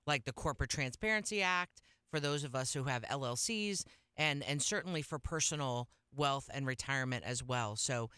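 A man's voice: mid-range voice, normal speed, hardly any pauses, sharp tone, clean tone, no room sound, clean studio sound. A man co-hosting a talk show, speaking conversationally as he addresses the guest and the audience.

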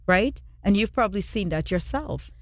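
A woman from Trinidad and Tobago hosting a radio show, chatting warmly and telling stories to draw out her guest. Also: mid-range voice, expressive, moderate pace, no echo, clean studio sound. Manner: chatty, storytelling, encouraging. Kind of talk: casual radio interview.

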